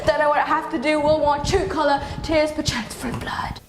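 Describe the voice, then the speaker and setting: A woman's voice: high voice, fairly even intonation, normal speed, background noise. A woman performing an anguished, accusing young prince in a declamatory, impassioned amateur Shakespeare reading. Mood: frantic, pleading.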